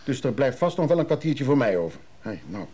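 A man's voice: mid-range voice, sing-song, a little fast, darkish tone, slightly rough. An actor playing a blunt, independent man in a radio drama, brusque and offhand. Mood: gruff, casual. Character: blunt, independent man, former drinker, weary of women.

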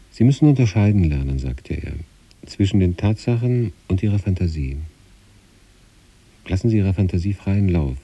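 A man reads literary first-person narration in a measured, contemplative way. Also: deep voice, warm tone, husky, muffled recording. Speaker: narrator reading a literary story.

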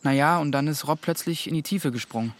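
A man's voice: a little high, fast, dry recording, close to an everyday voice. A young male actor playing a teasing, evasive teenage boy in a radio drama, casual and youthful in his talk. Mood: evasive, uneasy.

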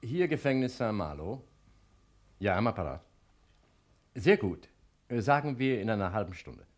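Pompous prison director, husky voice, very animated pitch, officious and jovial, old radio play. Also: male voice, normal speed. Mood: officious, skeptical. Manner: jovial, officious, with throat-clearing. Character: fussy, self-important male prison director. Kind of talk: 1960s German radio drama acting.

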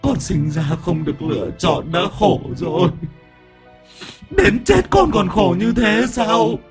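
A man voicing the weeping ghost of a young man, pleading through tears in a raised, breathy voice. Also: high voice, lively intonation, slow pace, over music, voice pushed up, exaggerated voice. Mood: sobbing plea. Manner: sobbing, pleading.

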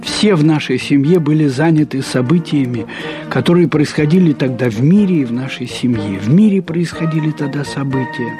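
A man reads literary prose as a storyteller, in a measured, deliberate way with pauses and emphatic repetition. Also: a little high, lively intonation, music in the background. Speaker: narrator. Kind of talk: expressive literary narration of a short story.

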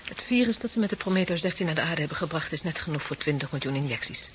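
A woman's voice: low voice, expressive, quick pace. An actress playing an earnest, anxious woman in the resistance, in a 1970s radio drama. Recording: muffled, hissy 1970s radio recording.

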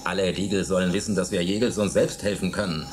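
An actor playing a defiant, hot-headed rebel held in a space dome, in a dramatic radio-play style. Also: male voice, deep voice, steady tone, fast talker, natural voice. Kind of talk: German radio play acting. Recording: noisy radio drama recording.

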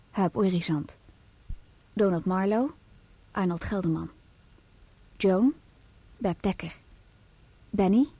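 Female radio announcer for drama credits: unhurried, formal, dark-toned, very dry sound. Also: medium pitch, husky, no echo, rough recording. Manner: measured, formal list reading. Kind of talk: scripted reading of closing cast credits.

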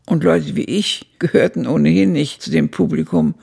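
An older woman acting as an elderly narrator who looks back on her youth in a radio drama, with a gravelly, husky voice and measured phrasing. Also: sits low, fairly even intonation, warm tone, full character voice. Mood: reflective.

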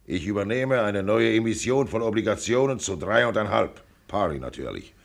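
Radio play: self-assured older millionaire, gravelly and blunt, clipped commands, swinging intonation. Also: male voice, lowish voice, lively intonation, moderate pace. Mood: commanding. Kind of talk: radio drama acting.

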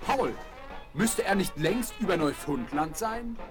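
Worried gruff man in an old radio play, highish voice, faint music behind. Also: animated, a little slow. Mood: anxious. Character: anxious, gruff male friend following the flight. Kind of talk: 1970s German children's radio play.

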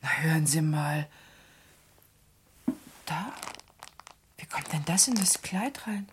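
A woman's voice: low voice, lively intonation, slow pace, noisy background. A woman playing a busy, conscientious seamstress in a German radio crime drama, chatty, fussy and matter-of-fact. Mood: puzzled. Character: busy, conscientious seamstress packing dresses, female.

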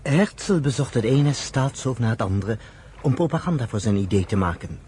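A man narrating a radio play as an expressive, deliberate storyteller. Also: medium pitch, slight background noise, natural voice.

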